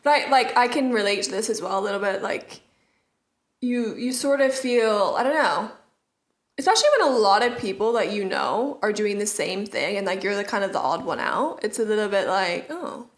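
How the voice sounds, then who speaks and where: A woman in her twenties co-hosting a podcast, gently reassuring and leaning on casual fillers. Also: mid-range voice, lively intonation, normal speed. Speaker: podcast co-host. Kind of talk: casual advice chat.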